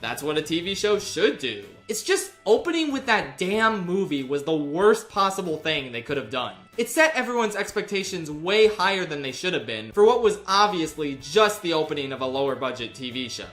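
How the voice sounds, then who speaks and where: A man's voice: on the high side, sing-song, moderate pace, few pauses, a bit bright, faint music behind. A young man narrating a comedic review with sarcastic, exasperated asides. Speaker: video reviewer. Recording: roomy echo.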